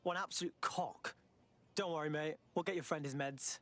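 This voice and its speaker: An actor with a British accent playing a flippant, cocky young resistance operative in a video game, offhand and irreverent. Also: male voice, fairly high pitch, brisk, fairly bright tone. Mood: scornful, reassuring. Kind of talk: video game cutscene dialogue. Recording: clear background noise.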